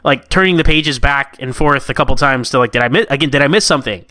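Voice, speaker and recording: Male podcast co-host, clean and forward tone, mid pitch, casual and halting review chat in a dry space. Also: bright, forceful tone, dry recording.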